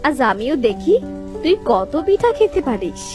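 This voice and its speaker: A woman's voice: high-pitched, very animated, moderate pace. A woman voicing a motherly, chatty village aunt in a cartoon, doting and ready to scold. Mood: fond, chatty. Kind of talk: cartoon character dialogue. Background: music.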